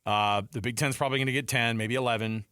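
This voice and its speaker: A man's voice: medium pitch, fairly even intonation, fast, pushed and bright, clear, resonant tone, no echo. A man hosting a casual sports talk show from a studio, speaking in an emphatic, exclamatory, conversational way. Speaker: sports radio host. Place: radio studio. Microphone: close studio microphone.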